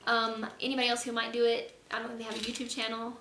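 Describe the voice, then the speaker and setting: Hoarse young woman vlogging earnestly. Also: fairly high pitch, completely flat delivery, quick pace, bright, forceful tone. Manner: earnest, rambling, lots of fillers. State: hoarse, losing her voice. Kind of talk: casual faith vlog. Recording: echoey room, faint noise.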